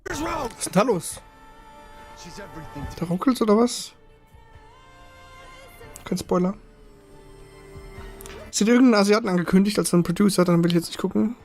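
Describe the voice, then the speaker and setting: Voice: mid-range voice, normal speed, long pauses, darkish tone, faint music behind. A young adult streamer giving casual, colloquial, sceptical live reaction commentary. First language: German. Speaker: livestream reactor.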